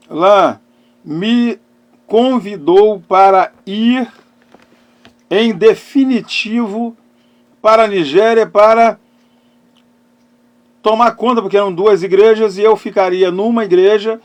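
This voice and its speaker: A middle-aged man preaching and giving personal testimony as a pastor, emphatic, with dramatic pauses and swings between loud and hushed phrases. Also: high-pitched. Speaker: pastor and therapist hosting a live talk.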